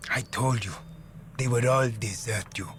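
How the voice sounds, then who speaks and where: A man's voice: medium pitch, unhurried, a little hiss or hum, lightly acted. An actor playing a sinister, coaxing male death cult villain in a video game, ominous in manner. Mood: menacing, coaxing.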